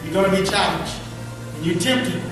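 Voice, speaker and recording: A man's voice: high voice, expressive, normal speed. A man in his thirties from Alabama with a slight lisp, giving an earnest motivational speech to students and repeating his key phrases for emphasis. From Birmingham, Alabama. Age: 30s. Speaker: motivational speaker. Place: large echoey school event hall. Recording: echoey hall from far off, with music.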